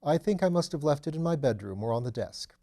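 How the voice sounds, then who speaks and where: A man's voice: fairly high pitch, animated, fast talker, warm tone, voice pushed up. A man voicing an amiable aristocratic detective in an audiobook, breezy, leisurely and courteous. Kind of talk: audiobook character dialogue.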